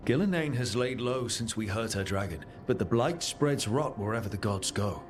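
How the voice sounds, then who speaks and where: A man's voice: on the low side, animated, normal speed, slight background noise, natural voice. A man playing an earnest, resolute young leader of heroes in a fantasy game. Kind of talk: dramatic video game acting.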